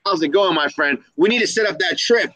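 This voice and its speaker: A man's voice: high-pitched, fairly quick, fairly bright tone, dry recording. A man hosting a reptile keepers' live stream in a casual, slangy, upbeat style, hyping up the community. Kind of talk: casual live-stream chat with shout-outs.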